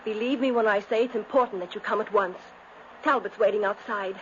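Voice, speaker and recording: A woman's voice: medium pitch, a little fast, fairly bright tone, lightly acted. Actress as an anguished gothic lady, muffled radio. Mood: urgent. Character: anguished gothic gentlewomen, fearful and imploring. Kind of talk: 1950s radio drama acting. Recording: muffled, noisy 1950s radio recording.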